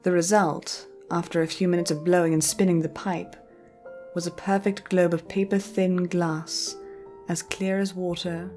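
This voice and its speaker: Low-pitched woman narrating a story. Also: level tone, moderate pace, dark tone, faint music behind.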